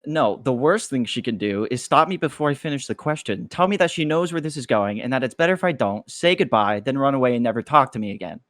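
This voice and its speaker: A man's voice: mid-range voice, expressive, fast talker, few pauses, fairly bright tone, no echo. Guy acting as an awkward freshman with a crush, hesitant and self-doubting, really dry close recording. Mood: reluctant, gloomy. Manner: hesitant, stammering when nervous. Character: nervous, self-deprecating young male college freshman with a crush. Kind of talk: table read of a pilot script.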